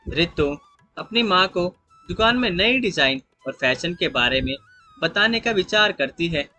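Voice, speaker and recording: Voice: mid-range voice, lively intonation, a little slow, bright, forceful tone, soft background music. A narrator reading a scripted moral story in a storytelling style.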